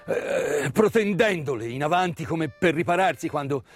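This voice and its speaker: A man tells a story as a theatrical monologue. His delivery is intense and emphatic, broken by pauses and repeated words. Live music plays behind him. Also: fairly high pitch, animated. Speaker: stage actor-narrator. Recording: live stage performance with music.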